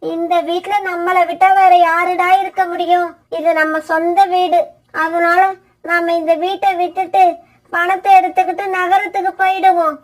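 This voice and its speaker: Very high pitch, narrow intonation, plaintive widowed mother crow, cartoon fable, acted by a woman. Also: level tone, moderate pace. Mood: wistful. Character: tearful old widowed mother crow, betrayed and remorseful. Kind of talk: Tamil animated bird fable dialogue.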